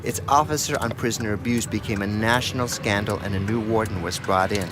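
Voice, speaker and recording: A man's voice: fairly low pitch, a little fast, over music. A man narrating a documentary in the first person as its reporter, reading from a script.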